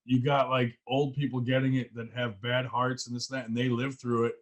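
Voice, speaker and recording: A man hosting a casual podcast conversation, agreeable and quick to back up his guest. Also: medium pitch, flat delivery. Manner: agreeable, chiming in with short affirmations. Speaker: podcast host.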